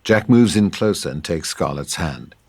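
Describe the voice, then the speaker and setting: A man's voice: low voice, animated, moderate pace. A man reading scripted stage directions as the narrator of a table read.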